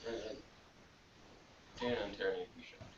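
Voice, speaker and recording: A man's voice: deep voice, fairly quick. A man co-hosting a casual review podcast, speaking in a blunt, sardonic way. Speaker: podcast co-host reviewing a TV episode. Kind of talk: casual chat. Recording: distant, echoey room, dull and noisy.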